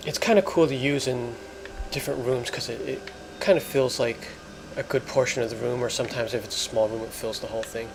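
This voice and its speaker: Man doing gravelly, halting game commentary. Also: medium pitch, noisy background. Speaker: gaming video host. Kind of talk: let's play commentary.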